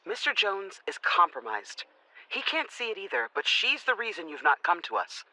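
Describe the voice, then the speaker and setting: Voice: mid-range voice, very animated, moderate pace, sharp tone, no room sound, poor audio. An actor playing a manipulative corporate official in an audio drama, controlled, condescending and coolly persuasive. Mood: condescending persuasion.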